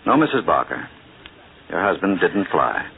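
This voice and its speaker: An actor playing an assured, dismissive police inspector in a brisk old radio drama style. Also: male voice, sits low, big pitch swings, vocal fry, full character voice. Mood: assured, explanatory. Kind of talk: 1940s radio drama acting. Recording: tinny old radio recording, faint noise.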